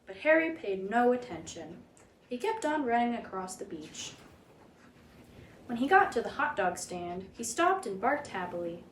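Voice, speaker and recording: A woman narrates a picture book for children with a highly animated, swooping delivery. Her voice is on the high side and expressive. The room adds noticeable echo, with a little noise underneath. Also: measured pace. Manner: animated, dramatic read-aloud delivery. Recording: roomy echo, background noise.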